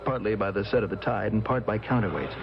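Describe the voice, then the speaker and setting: A man's voice: fairly low pitch, fast talker, gravelly, loud music behind the voice. A man acting a moody, sardonic young heir in a radio drama, with a wry, theatrical manner. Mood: wry enthusiasm. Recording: dull, noisy radio recording.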